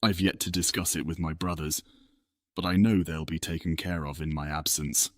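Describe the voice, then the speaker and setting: A man playing a loyal male companion in a fantasy audiobook, speaking calmly and earnestly. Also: rumbling low, moderate pace, no room sound, clean studio sound. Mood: calm, resolute. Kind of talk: audiobook drama acting.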